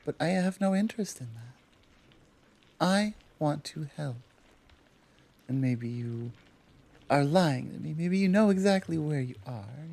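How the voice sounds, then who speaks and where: Slow, mellow woman acting a protective Amazon warrior. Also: deep voice, vocal fry, full character voice. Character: tall, powerful, protective Amazon warrior woman, gently teasing rescuer. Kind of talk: intimate ASMR audio roleplay.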